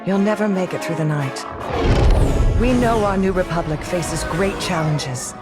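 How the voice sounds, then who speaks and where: A woman playing a dignified, hopeful republic leader in a video game, giving a solemn, measured and uplifting speech. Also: lowish voice, loud music behind the voice, close to an everyday voice. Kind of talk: inspirational scripted game speech.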